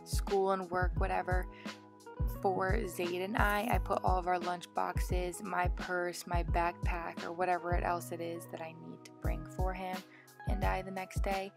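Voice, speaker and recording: Creaky-voiced young American woman over music. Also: fairly low pitch, little pitch movement, unhurried. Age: young adult. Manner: chatty, informal. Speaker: vlogger. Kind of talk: casual voice-over narration of a daily routine.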